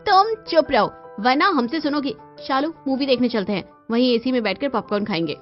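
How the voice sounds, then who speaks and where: A woman's voice: high-pitched, animated, a little fast. A woman voicing a brash, insolent young modern daughter-in-law in a cartoon, with a snappy, whining manner. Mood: rude, whining. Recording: dull lo-fi sound with music behind.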